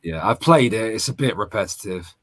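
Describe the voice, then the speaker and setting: Low-voiced man bantering casually. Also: expressive, a little fast, fairly bright tone. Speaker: co-host of a gaming radio stream.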